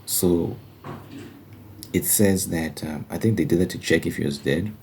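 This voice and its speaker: A man's voice: low-pitched, unhurried, creaky, darkish tone, a little hiss or hum. A man giving an informal, earnest Bible study monologue in an ASMR style, repeating phrases for emphasis.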